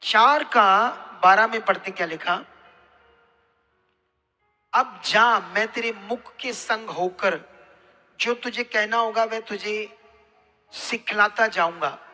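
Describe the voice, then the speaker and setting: A man's voice: sits high, slow, long pauses, pushed and bright. A man preaching a sermon to a congregation, speaking emphatically and putting questions to his listeners. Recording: hall reverb, music behind.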